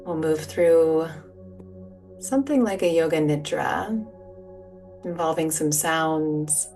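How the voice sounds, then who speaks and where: A woman leading a guided relaxation as a yoga teacher, speaking in a soothing, gentle, unhurried manner. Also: deep voice. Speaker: yoga nidra meditation guide. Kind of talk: guided meditation, soft spoken instruction. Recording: distant mic, music behind. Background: soft music or sound bowls.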